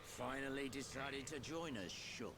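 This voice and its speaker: An actor voicing a gruff, easygoing middle-aged fighter and mentor in a video game cutscene. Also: male voice, mid-range voice, unhurried, noisy background, lightly acted. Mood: brisk. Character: gruff older male mentor, seasoned fighter, easygoing guardian. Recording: game music behind the voice.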